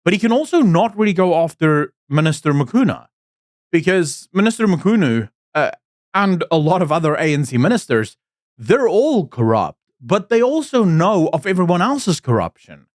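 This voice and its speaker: Blunt south african guy ranting about politics, fairly high voice, dead dry mic. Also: very animated, moderate pace, dry recording. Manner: opinionated, blunt, exasperated. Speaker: political commentator. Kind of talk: casual commentary to camera. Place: Cape Town.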